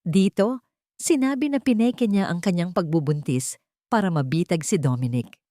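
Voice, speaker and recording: A woman narrating a scripted audio drama in a measured, suspenseful way. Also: on the low side, animated, mellow, dry recording, clean studio sound.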